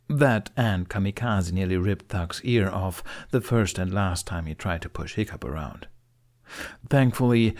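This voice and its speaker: A male audiobook narrator with a fairly low voice. He reads scripted fiction expressively, in a storyteller's style. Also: a little mellow.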